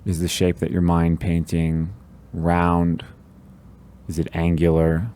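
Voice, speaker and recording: A man leading a guided meditation, giving gentle, calm instructions with long pauses between phrases. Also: sits low, clean tone.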